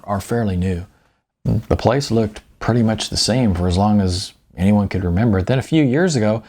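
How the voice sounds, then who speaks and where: A man voicing a likable retired salesman and father in an audiobook, with a folksy, halting, conversational manner. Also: on the low side, dark tone.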